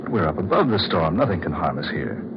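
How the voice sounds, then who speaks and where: An actor playing a steady, protective man in a 1940s style radio drama, reassuring and matter-of-fact. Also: deep voice, a little fast. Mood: soothing. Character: steady, protective man soothing his sweetheart. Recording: very noisy, muffled old radio recording.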